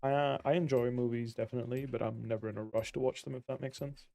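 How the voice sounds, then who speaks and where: A man streaming a game, casually answering viewer questions in a relaxed, friendly way. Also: medium pitch, fast, gravelly, no echo. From Belgium. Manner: relaxed and friendly, with easy fillers.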